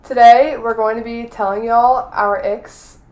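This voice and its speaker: Young woman, podcast co-host, blunt and opinionated, slightly hoarse, echoey room. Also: fairly high pitch, fairly even intonation, measured pace, darkish tone. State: losing her voice. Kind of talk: casual chat.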